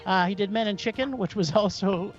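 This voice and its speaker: A man's voice: high voice, fairly quick, lo-fi sound, soft background music. A man hosting a casual movie podcast, enthusiastic and stumbling over foreign film titles. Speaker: podcast host.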